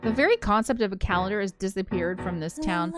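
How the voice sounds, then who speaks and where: Woman, game host reading story text expressively with casual giggly asides, mid-pitched, over clear background music. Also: lively intonation, fast talker. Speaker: let's play host reading a visual novel aloud. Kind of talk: expressive read-aloud narration with casual commentary.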